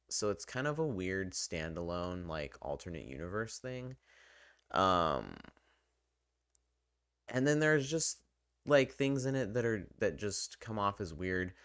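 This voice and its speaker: A man talking casually and unscripted as a game reviewer, halting and drifting into asides. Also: sits low, a bit bright, no echo, studio quality. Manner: halting, conversational, trailing into asides. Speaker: video game reviewer and channel host.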